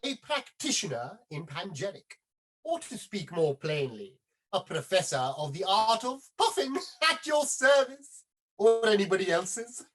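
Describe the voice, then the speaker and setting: A woman's voice: mid-range voice, sing-song, unhurried. A woman playing a flamboyant, self-advertising male puffer in a period stage comedy, with pompous, effusive delivery. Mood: smug, effusive. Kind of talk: theatrical period comedy play reading.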